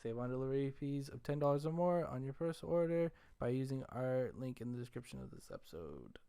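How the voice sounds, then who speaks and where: A man with a medium-pitched voice hosts a podcast. He talks in a casual, easygoing way, like he is chatting with friends. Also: very animated, brisk, dark tone, clear, resonant tone. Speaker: podcast host. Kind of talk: casual group chat and sponsor reads.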